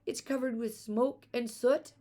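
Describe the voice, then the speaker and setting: A woman reading a children's storybook, voicing a frightened, sobbing little girl who pleads in a shrill, panicked way. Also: fairly even intonation, unhurried, warm tone, close to an everyday voice. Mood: panicked, tearful. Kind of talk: children's storybook read aloud.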